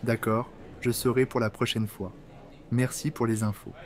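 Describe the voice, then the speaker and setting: A man reading scripted workplace dialogues for French learners, in a plain, clear way. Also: medium pitch, little pitch movement, moderate pace, close to an everyday voice.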